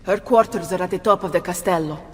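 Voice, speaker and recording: A woman playing a proud, sharp-tongued Italian noblewoman in a video game, with an Italian accent. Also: fairly low pitch, fast talker, big-room sound, natural voice. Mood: wry, wary. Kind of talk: video game cutscene acting.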